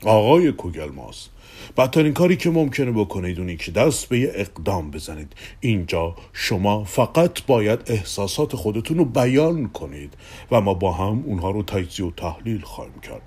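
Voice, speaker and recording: A man voicing a calm, stern male psychoanalyst in an audio drama, speaking very deep and measured. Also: breathy edge. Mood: stern, patient.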